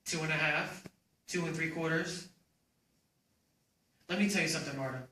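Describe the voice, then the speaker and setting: A man's voice: on the high side, normal speed, bright, forceful tone. A man playing a well-meaning, hapless father in a readers theater performance. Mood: coaxing, firm. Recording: very echoey room, distant mic, faint noise.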